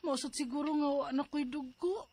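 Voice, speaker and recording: A woman's voice: sits high, fairly quick, a little mellow. A woman telling a dramatized first-person story in a plaintive, confiding way. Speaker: storyteller narrating as the letter-sender.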